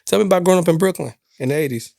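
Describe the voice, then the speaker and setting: Male podcast host, gravelly edge, casual and slangy, dry close sound. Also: on the high side, rapid-fire, darkish tone, dry recording. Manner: laid-back, slangy, talks to listeners as y'all. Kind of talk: casual interview chat.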